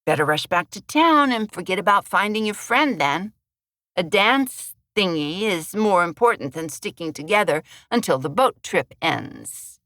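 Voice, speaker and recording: A woman's voice: fairly low pitch, animated, measured pace, a bit bright, no room sound. A woman voicing a bitter, sarcastic woman bartender who talks in a sing-song lilt.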